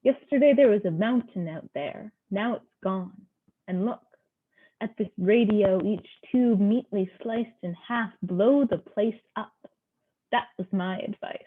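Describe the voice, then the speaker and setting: A woman's voice: medium pitch, unhurried, breathy edge. A woman reading poetry aloud at an online open mic, recorded through a voice call. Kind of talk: poetry recitation. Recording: thin phone-like call audio.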